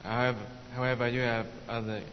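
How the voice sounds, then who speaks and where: A man's voice: medium pitch, flat delivery, fast talker. A young man from Hong Kong introducing himself informally to a group in a large reverberant room. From born in Hong Kong, later lived in England. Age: young adult. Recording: dull, hissy, echoey hall recording.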